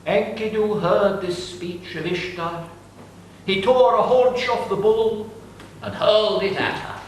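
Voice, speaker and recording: A man narrating an ancient epic in a dramatic reading, slow and deliberate. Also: sits high, steady tone, slight background noise. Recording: echoey room, distant mic.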